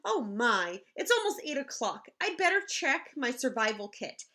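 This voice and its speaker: A woman's voice: a little high, very animated, normal speed, sharp tone. A woman reading as an anxious storybook schoolteacher bracing for her class, with dramatic delivery. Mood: nervous.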